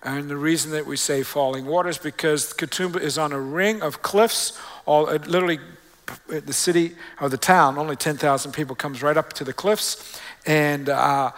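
Male pastor, slightly high-pitched, conversational preaching. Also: steady tone, moderate pace, fairly bright tone. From Australia. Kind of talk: sermon to a congregation. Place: church, preaching before a congregation.

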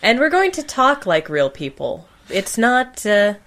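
A woman's voice: mid-range voice, sing-song, a little slow, a bit bright. A woman co-hosting a podcast in casual banter, with a joking, sarcastic manner. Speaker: podcast co-host.

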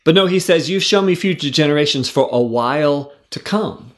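A man giving a devotional Bible teaching, earnest and conversational, with fillers and restarts, slowing down when he reads verses aloud. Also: fairly high pitch, expressive. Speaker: preacher teaching scripture.

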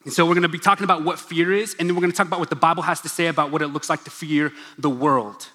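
A man's voice: on the high side, fairly even intonation, very fast, sharp tone. A young man giving a casual, joking sermon as a pastor, with asides to the crowd. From Oklahoma, USA. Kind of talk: casual sermon in a church gathering. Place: large church hall. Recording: long reverb of a large hall.

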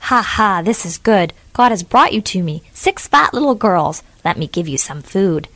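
A woman voicing a wicked old witch in a children's story, loud and cackling in a raised voice. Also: mid-range voice, big pitch swings, normal speed, no echo. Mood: gloating.

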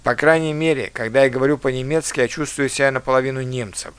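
Measured male language teacher, dry close sound. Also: mid-range voice, steady tone, fairly bright tone, clear, resonant tone, no echo. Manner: measured, reads foreign phrases slowly and carefully. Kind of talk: lecture.

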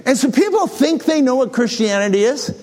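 A man's voice: very high voice, expressive, fairly quick, a little mellow. A man in his sixties preaching a sermon, reading scripture and telling self-deprecating jokes. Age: 60s. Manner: storytelling, self-deprecating humor. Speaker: pastor. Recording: echoey hall with long reverb.

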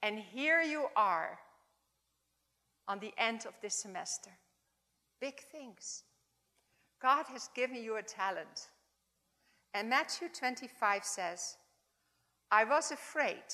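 A woman in her seventies with a Dutch accent, giving an informal, halting chapel talk in English as a second language. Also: fairly high pitch. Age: 70s. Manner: warm, halting, non-native phrasing. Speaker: chapel speaker. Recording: long hall reverb.

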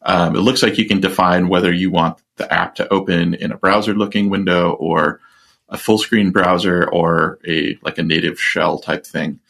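A man's voice: sits low, steady tone, moderate pace, vocal fry, a bit bright. A man co-hosting a podcast, chatting casually and rambling with many fillers and restarts. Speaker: podcast co-host. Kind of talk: casual tech chat.

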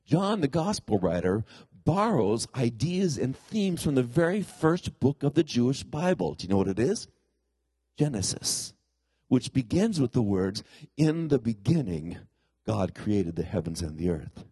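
A man's voice: medium pitch, big pitch swings, a little slow, dark tone, husky, dry recording. A middle-aged man, a pastor, preaching a conversational sermon in a congested voice that he puts down to a pulled tooth, often putting questions to the congregation. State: congested from a cold-like ailment after a tooth extraction.

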